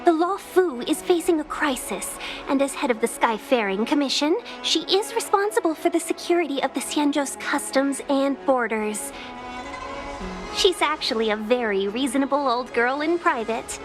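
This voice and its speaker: A woman's voice: high-pitched, lively intonation, moderate pace, over music. A woman playing a courteous, poised young female envoy in a video game, speaking politely and formally. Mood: reassuring, explanatory. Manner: polite, formal, smoothly hospitable. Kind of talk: video game character dialogue.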